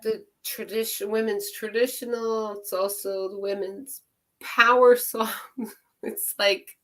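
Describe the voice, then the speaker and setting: A woman with a raspy, hoarse voice from a cold, chatting slowly and chuckling while leading a drumming circle on a video call. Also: medium pitch, level tone. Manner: slow, chuckling, unhurried. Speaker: drumming circle song leader. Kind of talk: casual chat and hand-drum singing. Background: hand drum.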